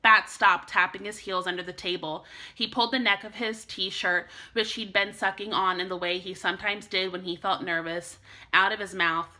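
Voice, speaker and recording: A woman teacher reading a children's storybook aloud in an expressive way meant for young listeners. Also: mid-range voice, moderate pace.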